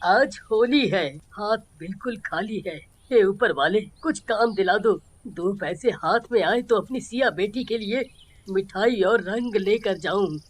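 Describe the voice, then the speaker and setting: A woman's voice: medium pitch, a little slow, breathy edge. Woman playing a poor, worried, honest father. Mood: pleading. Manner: earnest, worried.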